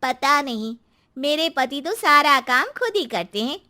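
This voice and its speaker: A woman's voice: high-pitched, big pitch swings, unhurried, bright, forceful tone, full character voice. A woman voicing a chatty, knowing housewife neighbour in a cartoon story.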